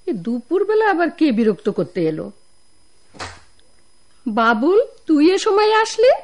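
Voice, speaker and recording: A woman's voice: high-pitched, very animated, a little slow, noisy background. A woman with a Bangladeshi accent playing a doting, pious mother of a grown son in a radio drama. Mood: tender, glad. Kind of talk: Bengali radio drama acting.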